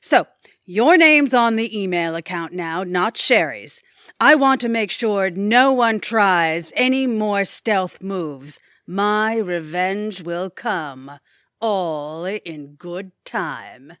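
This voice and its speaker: Actress for audio-comedy boss lady: domineering, snide, mid-pitched, unhurried and scornful. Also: animated, a bit bright, no echo, studio quality. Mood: contemptuous. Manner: sarcastic, cutting, imperious. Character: domineering, scheming, sarcastic female office boss. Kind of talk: audio theatre comedy acting.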